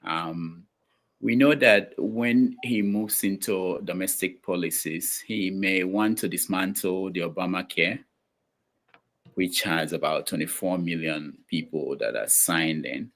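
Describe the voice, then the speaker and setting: A man with a very deep, low voice hosts a talk show from a studio. He talks in a halting way, full of ums and restarts as he frames his questions. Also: fairly bright tone. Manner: hesitant, many fillers and restarts. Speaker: show host. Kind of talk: casual talk show hosting.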